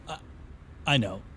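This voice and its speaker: A man's voice: a bit bright, slight background noise. An actor playing a skeptical, uneasy and blunt man in a naturalistic audio drama. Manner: blunt, questioning.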